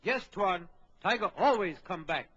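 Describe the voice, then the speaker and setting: An actor playing an excitable, loyal local helper in an old children's adventure record, speaking clipped, deferential broken English. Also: male voice, sits high, a little slow, slightly rough, muffled recording, exaggerated voice. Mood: deferential, calm.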